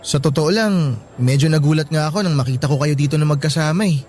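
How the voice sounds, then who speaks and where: A young male student, played by a man, fairly high in voice, casual and a little hesitant, with a Filipino accent. He plays the concerned buddy in a radio drama with faint music underneath. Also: fast, darkish tone, lightly acted.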